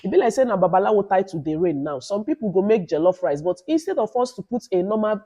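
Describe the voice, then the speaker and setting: Woman, Nigerian accent, loud, warm mellow tone, mid pitch, emphatic, instructive, informal vlogger advice. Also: big pitch swings, fast talker, breathy edge. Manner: emphatic, instructive, repeats phrases and adds "okay?". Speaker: YouTuber giving advice to new creators. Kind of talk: informal advice vlog with Nigerian Pidgin asides.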